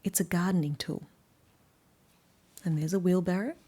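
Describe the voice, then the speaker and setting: A woman with an Australian accent reading a picture book aloud to young children, with gentle, often hushed asides. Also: fairly low pitch, fairly even intonation, moderate pace, husky. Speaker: children's story reader.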